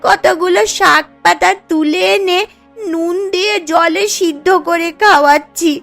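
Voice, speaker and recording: A woman's voice: very high voice, unhurried, creaky, a bit bright, full character voice. A woman voicing a poor, earnest village girl who pleads, in a children's cartoon story. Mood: pleading. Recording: music playing under the voice.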